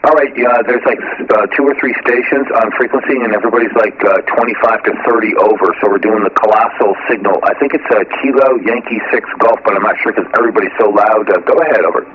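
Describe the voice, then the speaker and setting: A man talking technically and casually as an amateur radio operator, explaining things methodically and haltingly over a two-way radio. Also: fairly low pitch, steady tone, a little hiss or hum. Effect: two-way radio transmission. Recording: muffled shortwave radio audio.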